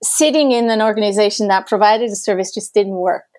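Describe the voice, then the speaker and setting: A woman's voice: mid-range voice, fairly quick, a little mellow. Chatty Swiss-born woman telling a story. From Switzerland. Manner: chatty, storytelling, laughs often. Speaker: transport organisation founder. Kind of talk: casual panel conversation.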